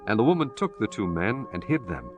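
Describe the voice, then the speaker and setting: Solemn low-voiced male narrator. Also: very animated, brisk, muffled recording, soft background music. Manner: solemn, formal. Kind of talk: solemn scripted scripture narration.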